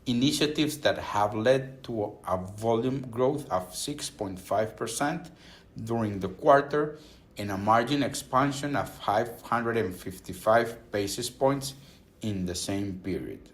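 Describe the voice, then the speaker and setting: A man reading a scripted earnings call presentation as a company executive, in a formal, measured corporate manner. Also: on the low side.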